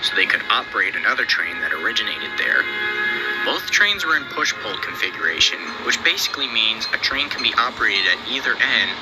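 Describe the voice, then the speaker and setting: A man's voice: fairly low pitch, sing-song, brisk, over loud music, poor audio. A man reading scripted documentary narration as the narrator.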